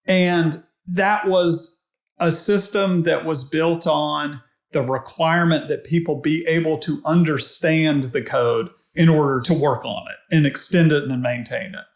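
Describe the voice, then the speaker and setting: A man giving measured, reflective and analytical answers as a podcast guest, recorded in an echoey room. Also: a little high. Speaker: podcast guest, technology executive. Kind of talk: conversational interview answers. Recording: echoey, reverberant room sound.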